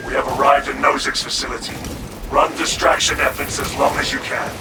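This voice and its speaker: An actor playing a stern male officer who gives a squad clipped, brisk orders over the radio. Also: medium pitch, little pitch movement, natural voice. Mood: commanding. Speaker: commanding officer. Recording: game audio over loud music.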